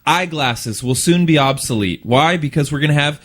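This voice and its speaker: A man's voice: a little high, moderate pace, a bit bright. A man co-hosting a radio show, chatting casually as he explains stories and poses rhetorical questions. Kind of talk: casual radio chat, presenting lifestyle stories.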